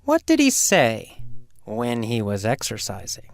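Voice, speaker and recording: An English teacher telling a mini story for learners in a slow, emphatic and theatrical way, often asking the listener questions. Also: medium pitch, huge pitch swings, vocal fry, no echo, clean studio sound, faint music behind. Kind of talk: mini story lesson narration.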